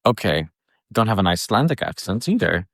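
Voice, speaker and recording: A man co-hosting an improvised comedy podcast, asking curious, halting questions while playing it straight. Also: fairly low pitch, big pitch swings, creaky, a bit bright, no echo, clean studio sound. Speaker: podcast co-host.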